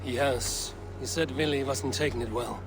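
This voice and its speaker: An actor playing a wry, loyal, level-headed Viking warrior leader in a video game, speaking bluntly with dry teasing and command. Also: male voice, medium pitch, steady tone, moderate pace, background noise, close to an everyday voice. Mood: frank concern. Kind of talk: dramatic video game acting.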